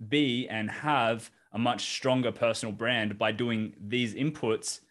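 A young Australian man hosting a podcast over a video call, talking in a relaxed, encouraging way. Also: mid-range voice, measured pace. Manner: relaxed, encouraging, "you know" fillers. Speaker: podcast host. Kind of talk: casual video-call interview. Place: home office, indoors.

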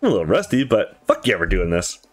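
A man's voice: low-pitched, big pitch swings, quick pace, faint music behind, voice pushed down. Man playing a cocky guy with swinging intonation. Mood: brash. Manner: brash, casual. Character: cocky, playful man who likes sparring and guns.

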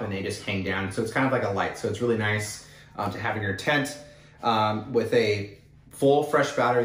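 A young man hosting a casual, upbeat product haul vlog, with plenty of fillers. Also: lowish voice. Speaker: channel host. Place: indoor room with wood-panel wall. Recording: roomy echo, mic at a distance.

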